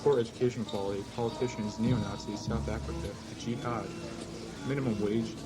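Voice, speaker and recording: A man reeling off a comic list in a deadpan style. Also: fairly low pitch, brisk. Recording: loud music over the voice.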